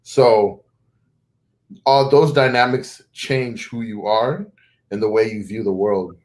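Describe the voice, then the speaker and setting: Young man, North American accent, first language not English, low-ish voice, thoughtful interview guest. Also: fairly even intonation, slow, darkish tone. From Zimbabwe. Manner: thoughtful, restarts and self-corrections. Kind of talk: conversational interview.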